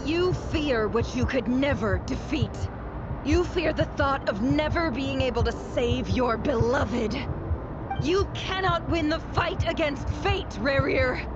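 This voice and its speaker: An actress playing a composed, defiant young sleuth in a video game, cool, measured and confident. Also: female voice, sits high. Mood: coolly defiant. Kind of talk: video game battle lines. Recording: muffled audio under loud music.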